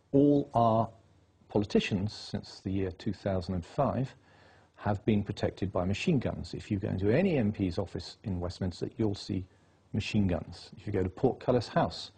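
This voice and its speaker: A man's voice: lowish voice, vocal fry, warm tone, breathy edge. A man being interviewed, laying out his case insistently and reeling off dates and facts. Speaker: interview guest. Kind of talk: political interview.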